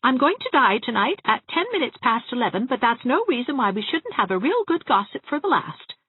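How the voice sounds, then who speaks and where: Through a narrow, muffled band comes a genteel spinster dressmaker, acted by a woman reader. Her voice is raised and very lively, full of chatty relish for grim family gossip, like a character from an old storybook. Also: on the high side, brisk, slightly rough, no echo, pitched above the performer's own voice. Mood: gossipy, confiding. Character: ladylike, morbidly gossipy small-town spinster dressmaker. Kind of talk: acted audiobook dialogue.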